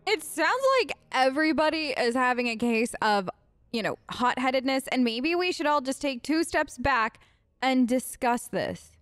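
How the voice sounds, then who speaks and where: A woman's voice: high-pitched, expressive, normal speed, fairly bright tone, no echo. A woman doing casual, chatty role-play commentary as a let's play host. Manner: chatty, conversational.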